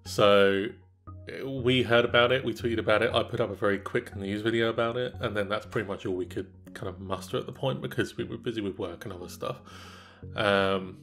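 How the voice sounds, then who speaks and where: Young male co-host, low voice, stuffed-up nasal tone, chatty and rambling, soft music underneath. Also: steady tone, brisk. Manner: rambling, filler-heavy, disappointed. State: nasal from a cold. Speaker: gaming channel co-host. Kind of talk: casual gaming discussion. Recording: faint background music.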